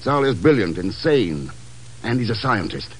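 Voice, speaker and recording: An actor playing a cool, determined secret agent, clipped and wry, in an old-time radio drama. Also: male voice, fairly low pitch, sing-song, normal speed, a little hiss or hum. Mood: urgent, firm.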